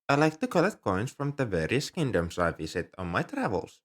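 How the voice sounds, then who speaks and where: A man's voice: medium pitch, wildly swooping pitch, fairly quick. A man playing an ancient, polite, nerdy dragon who collects coins, speaking earnestly and a little primly to the listener in an ASMR roleplay. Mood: proud, fond. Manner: polite, earnest, slightly prim and scholarly. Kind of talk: ASMR roleplay, one-sided dialogue with the listener.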